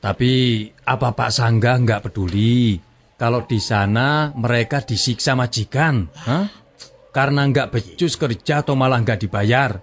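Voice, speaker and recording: A man's voice: medium pitch, slight background noise, lightly acted. A man playing a wise, inquisitive village stall host in a scripted comic drama, his talk full of exclamations. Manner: exclamatory, punctuated with interjections. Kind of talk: scripted comic radio-style drama dialogue.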